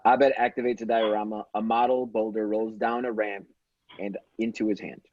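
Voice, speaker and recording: A man's voice: lowish voice, level tone, moderate pace. A man reading screenplay stage directions aloud as the narrator of an amateur table read. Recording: dull, roomy home audio.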